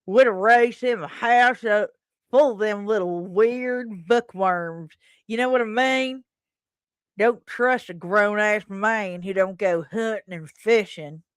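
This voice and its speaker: A woman voicing a gruff, suspicious rural man in his fifties, with a blunt folksy drawl, in a dramatic comic reading. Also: mid-range voice, slow pace, no echo, studio quality, natural voice. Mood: folksy contempt.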